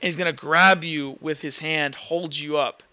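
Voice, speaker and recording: A man's voice: on the high side, steady tone, moderate pace, pushed and bright, no echo, dull audio. A man improvising narration as a tabletop game master, informal and hesitant as he pieces the scene together.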